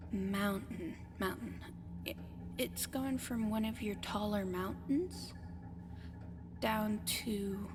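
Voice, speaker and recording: A woman voicing a gentle female alien who haltingly searches for human words in an ASMR roleplay addressed to the listener. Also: medium pitch, lightly acted. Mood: explaining, hesitant. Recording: clearly noisy background ambience.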